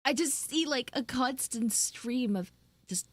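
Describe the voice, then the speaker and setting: Woman, higher-pitched voice, dry sound, livestream host, casual chatty storytelling, expressive and exclamatory. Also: a little slow, no echo. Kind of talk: live roleplay chat with viewers, casual storytelling.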